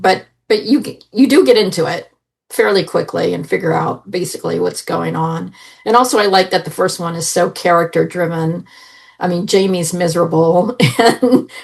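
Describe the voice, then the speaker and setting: A woman's voice: lowish voice, creaky. A woman giving her opinions as a panelist in a casual review chat. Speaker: podcast panelist reviewing audio drama.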